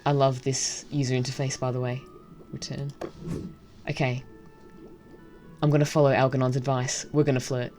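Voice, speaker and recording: A woman's voice: very deep voice, little pitch movement, normal speed, long pauses, music in the background. Woman wryly reading game narration aloud. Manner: amused, wry. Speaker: game streamer.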